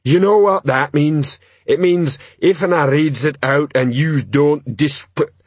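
A man voicing a huge, slow-witted, blunt and dutiful troll police sergeant in an audiobook, with laboured, ungrammatical speech. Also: a little high, husky, dry recording, pitched above the performer's own voice. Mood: booming, stern. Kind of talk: audiobook character voice.

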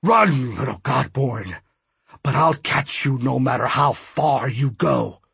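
Guy doing a sinister snake god, really raspy, gloating and taunting, audiobook villain, very dry sound. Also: mid-range voice, big pitch swings, slow, fairly bright tone, dry recording, exaggerated voice. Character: menacing, gloating male serpent god of death.

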